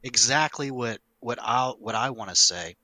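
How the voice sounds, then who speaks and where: A middle-aged man hosting a casual podcast, talking in an emphatic, animated way with some swearing. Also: medium pitch, moderate pace, no echo, poor audio. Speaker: podcast host, longtime game master.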